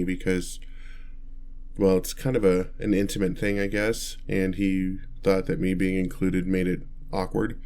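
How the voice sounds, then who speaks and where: A man's voice: sits low, a little mellow. A man voicing an awkward, kindly, inept vampire in an ASMR roleplay, stammering and hesitating as he talks to the listener. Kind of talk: ASMR roleplay, one-sided dialogue to listener.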